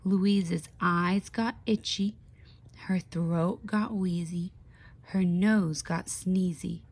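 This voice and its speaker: Lady doing a kids storybook read aloud, really slow, expressive, asks the listeners questions. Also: on the low side. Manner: slow, deliberate, with pauses. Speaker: speech therapist. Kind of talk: children's picture book read-aloud.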